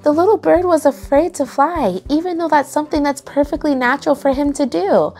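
Young woman, children's storytime host, animated read-aloud, expressive, clearly enunciated. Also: high-pitched, a little fast.

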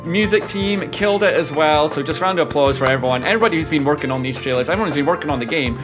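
A man's voice: fairly high pitch, very fast. A man in his thirties giving casual, exclamatory reaction commentary as a streamer, often repeating his phrases. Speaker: reaction video host, streamer. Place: indoor home streaming room. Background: music from the trailers being watched.

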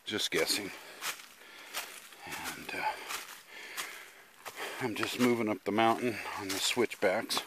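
A man's voice: lowish voice, slow, long pauses. A man filming himself on a mountain trail, narrating casually with an offhand, musing manner and correcting himself as he climbs, a little out of breath. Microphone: body-worn action camera. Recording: outdoor noise behind the voice.

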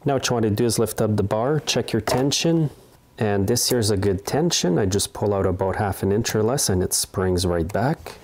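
Casting: middle-aged male repair-tutorial host, lowish voice, plain-spoken and methodical instruction. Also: lively intonation, moderate pace, darkish tone, a little hiss or hum. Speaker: small engine repair host. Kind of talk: hands-on tutorial narration. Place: indoor repair workshop.

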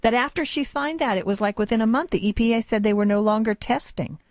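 An American woman hosting an informal radio interview, chatty and halting as she rephrases her questions on the go. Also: a little high, no room sound.

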